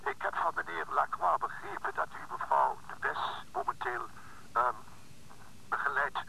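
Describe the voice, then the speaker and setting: A man playing a hesitant, fussy, discreet notary on the telephone in a Dutch radio play, speaking formally and haltingly. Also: medium pitch, wildly swooping pitch, vocal fry, bright, forceful tone, a little hiss or hum, heavily acted, low-quality recording. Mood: careful, halting.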